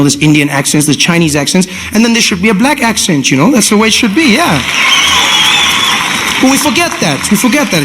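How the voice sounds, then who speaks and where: A male comedian doing stand-up, animated, with lots of "you know" tags. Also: high voice, heavy background noise. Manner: animated, swinging into impressions.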